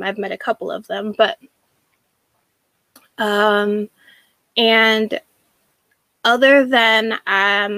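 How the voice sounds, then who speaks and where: A woman from Washington state with an American accent, giving a reflective personal monologue in a halting way with emphatic repeated phrasing. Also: mid-range voice, a bit bright, dry recording. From Washington state, United States. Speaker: speaker sharing a personal story.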